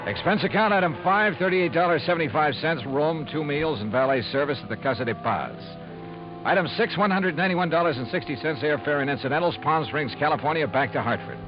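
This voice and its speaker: A man's voice: fairly high pitch, lively intonation, brisk, over music, natural voice. An actor playing a dry-witted male insurance investigator in a 1950s radio drama, narrating laconically. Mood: wry. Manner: laconic, dryly wry narration. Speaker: lead character and narrator. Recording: dull old radio broadcast with loud hiss.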